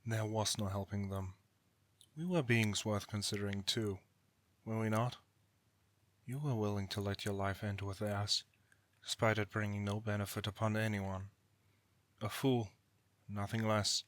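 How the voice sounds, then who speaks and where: Casting: cold, proud immortal brother played by a man, lowish voice, dry close sound, formal and disdainful. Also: steady tone, a bit bright, no room sound. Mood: scornful. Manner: formal, haughty, measured rhetoric. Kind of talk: scripted audio roleplay drama acting.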